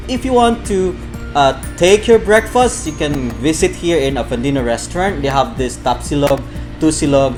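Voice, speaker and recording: A man with a Filipino accent, from Bohol, hosting a chatty food review vlog, full of repeats and fillers. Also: medium pitch. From Philippines, Bohol. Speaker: food vlogger.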